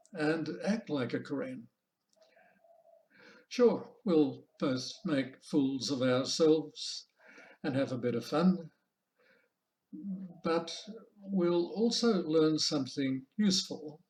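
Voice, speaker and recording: A man with an Australian accent presenting an informal online lecture as a language teacher, in a measured, deliberate, halting manner. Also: on the high side. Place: online video call presentation.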